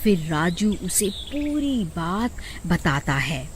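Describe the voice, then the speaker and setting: Slow-paced woman narrating a cartoon story. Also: lowish voice, sing-song, a little hiss or hum. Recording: faint music behind the voice.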